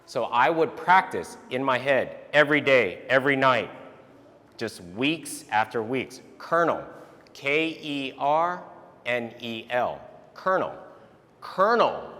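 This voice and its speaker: A man whose first language was Vietnamese, giving a lively motivational talk to parents. He keeps checking in with the audience with a quick "right?". His voice sits at an ordinary pitch for a man and carries a long reverb from a large hall. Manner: animated, tags statements with "right?". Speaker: speaker giving a talk on resilience.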